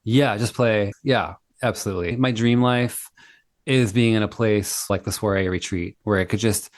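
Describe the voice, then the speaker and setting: A man's voice: on the low side, moderate pace, no room sound, studio quality. A man, a singer-songwriter, chatting as a guest in an upbeat, enthusiastic way over a video call. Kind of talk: casual interview chat.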